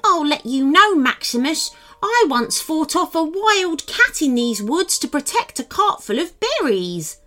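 A woman's voice: high voice, very animated, measured pace, few pauses, bright, forceful tone, soft background music, pitched above the performer's own voice, heavily acted. A woman voicing a cheerful, boastful little male gnome in a children's story, squealing and eager to persuade.

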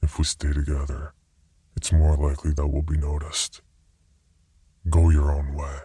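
A man voicing a weary, impassive stranger who speaks in a husky, flat, resigned way. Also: rumbling low, moderate pace, dry recording, pitched below the performer's own voice, heavily acted.

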